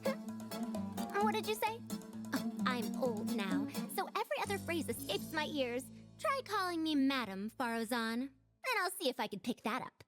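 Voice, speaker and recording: An actress voicing a proud, fussy, century-old scholar with a youthful sound and a schoolteacherly manner in an anime game. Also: female voice, sits high, sing-song, moderate pace. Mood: teasing, haughty. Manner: haughty, schoolteacherly. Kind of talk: English-dubbed anime game character monologue. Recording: music under the voice.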